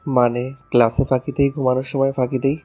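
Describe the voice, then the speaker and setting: Man playing a deep-voiced, teasing young husband, music behind. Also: little pitch movement, a little fast, mellow, dull audio, lightly acted. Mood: earnest, affectionate. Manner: teasing, affectionate. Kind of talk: romantic audio story dialogue.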